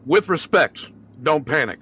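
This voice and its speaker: A man's voice: on the high side, expressive, a little slow, fairly bright tone, breathy edge. A man chatting casually as a player in a tabletop roleplaying game. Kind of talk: casual in-character game chat.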